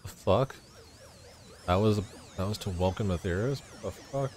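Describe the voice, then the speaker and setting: A man's voice: on the low side, normal speed, a little mellow, soft background music. A man giving casual, irreverent and profane gameplay commentary in an amused way. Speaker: game streamer. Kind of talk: casual gameplay commentary, reading dialogue aloud.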